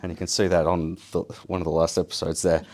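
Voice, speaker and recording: Very low-pitched man telling informal stories. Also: flat delivery, fast talker, a little mellow. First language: Polish. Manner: casual, self-deprecating, wry. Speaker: guest speaker, survival show winner. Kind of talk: informal first-person storytelling to an audience.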